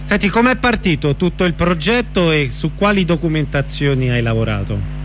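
A man's voice: fairly high pitch, lively intonation, normal speed. A man hosting a radio interview, asking short prompting questions with some fillers. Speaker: radio host. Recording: radio broadcast with faint hum.

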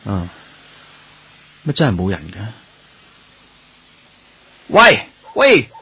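An actor with a Hong Kong Cantonese accent playing a lonely, grieving, gentle police detective in a radio drama. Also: male voice, medium pitch, huge pitch swings, very slow, a little hiss or hum, full character voice. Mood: playful, coaxing. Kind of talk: radio drama, narrated inner monologue and dialogue. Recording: music under the voice. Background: background music.

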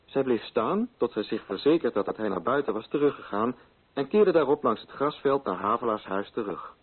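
Husky male radio drama narrator. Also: medium pitch, expressive, brisk. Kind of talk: scripted literary narration. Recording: thin, phone-like old radio recording.

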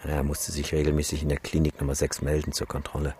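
Very deep-voiced, weary skeptical photographer. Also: male voice, monotone, fast talker, darkish tone, husky, full character voice. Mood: somber, reflective. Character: weary, skeptical male photographer documenting a disaster zone. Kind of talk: naturalistic radio drama acting.

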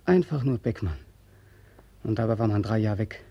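An actor playing an embittered, despairing young soldier home from captivity, in an agitated, repetitive 1940s radio drama manner. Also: male voice, on the low side, expressive, normal speed, slightly rough, no echo, muffled recording. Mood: bitter, despairing.

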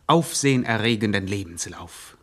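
An actor playing a grandiose narrator, telling a gangster epic in pompous, mock-solemn radio style. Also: male voice, fairly low pitch, lively intonation, moderate pace, lightly acted. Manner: pompous, theatrical, ornate.

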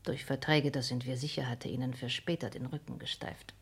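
An actress playing a hard, ambitious, scheming countess in a radio play, with formal, intense stage diction and a breathy, husky voice. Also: female voice, a bass voice, steady tone, a little fast, exaggerated voice. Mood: driven, resolute. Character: scheming, hard, ambitious countess plotting against her dying father. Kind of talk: 1960s German radio play acting.

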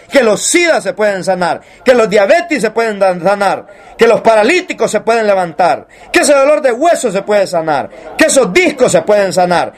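A man delivers an evangelical sermon in a strikingly high voice. He speaks straight to his congregation, urging them on and posing rhetorical questions as he preaches. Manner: exhorting, rhetorical questions, addresses listeners directly.

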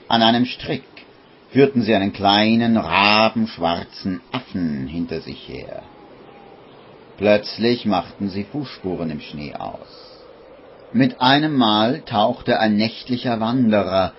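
A man's voice: lowish voice, slight background noise. A man narrating a 1950s radio play as a grave storyteller in a dramatic, literary manner. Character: grave storyteller of a dark comic tale. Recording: muffled old radio recording, music behind.

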